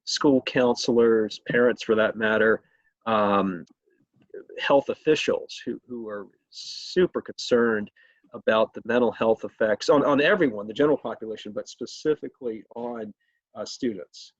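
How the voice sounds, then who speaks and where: A man conducting a conversational interview as host, speaking haltingly and often repeating his words. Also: on the low side. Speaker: state legislator hosting an interview. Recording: roomy, echoey, muffled video call sound.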